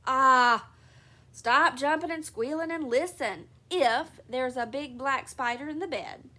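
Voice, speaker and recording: Woman playing a gruff, loud dad in a storybook reading. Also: high voice, slow, exaggerated voice. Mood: exasperated. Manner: loud, exasperated. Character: sleepy father, loud and mildly gruff.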